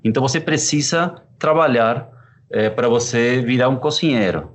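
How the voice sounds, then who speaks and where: A man in his thirties from Argentina, a chef, answering interview questions with an Argentine Spanish accent, unhurried and thoughtful with frequent fillers. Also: medium pitch. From Córdoba, Argentina. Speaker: chef and pizzeria partner.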